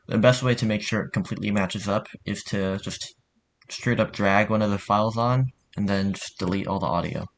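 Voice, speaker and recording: A man giving a casual spoken tutorial in an informal, offhand way. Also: fairly low pitch. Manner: informal, offhand, mildly sweary. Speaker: tutorial narrator.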